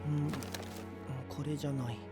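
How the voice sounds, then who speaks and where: A man voicing a bright, friendly, impulsive young knight in an anime-style voice drama, with a boyish, casual delivery. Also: on the high side, fairly even intonation, normal speed, natural voice. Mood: searching, musing. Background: background music.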